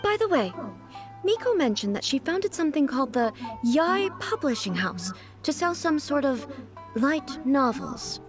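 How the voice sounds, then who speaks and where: A woman's voice: high-pitched, big pitch swings, unhurried. A woman voicing a regal, composed ruler in a video game, stately, formal and bluntly curious. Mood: wistful, curious. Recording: music behind the voice.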